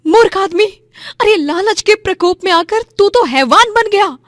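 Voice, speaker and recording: A woman's voice: very high voice, lively intonation, unhurried, dry recording, studio quality, pitched above the performer's own voice. A woman voicing a pious, brave and righteous village food-stall owner, fervent and emphatic, in a cartoon moral story. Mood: furious.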